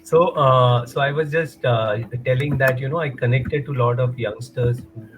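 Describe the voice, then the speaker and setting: Indian English man, 40s, guest on a video call, hesitant and rambling, mid-pitched. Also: normal speed, rough recording. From Mumbai, India. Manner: hesitant, many fillers, rambling. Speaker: guest, runner and motivator. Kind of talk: casual interview chat, mixing in Hindi.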